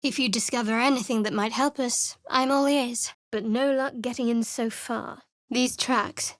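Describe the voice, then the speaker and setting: A woman's voice: a little high, steady tone, moderate pace, no echo, close to an everyday voice. A woman voicing a guarded, sardonic young female cleric in a video game, with a dry, wry and secretive way of speaking. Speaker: game character voice.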